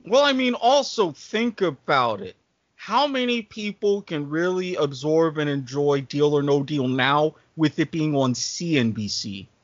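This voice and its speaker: A man's voice: fairly high pitch, expressive, a little slow, no room sound. A man chatting casually as a panelist on a podcast. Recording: dull, lo-fi close sound.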